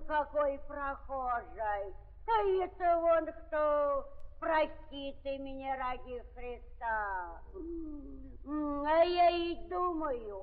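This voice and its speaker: An actress playing a fawning, wheedling old peasant woman with a rural Russian accent and sing-song folksy flattery, in a 1930s radio play. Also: high voice, heavily acted. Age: older. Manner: drawling, sing-song flattery with repeated exclamations. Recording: muffled 1930s radio recording, long reverb.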